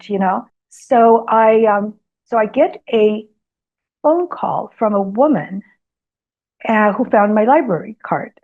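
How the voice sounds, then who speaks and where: Woman, greek first language, lots of ums, gets lively telling a story, kinda dull lo-fi sound. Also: mid-range voice, slow pace. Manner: hesitant, lots of ums, animated when telling anecdotes. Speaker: guest author. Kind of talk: storytelling in an interview.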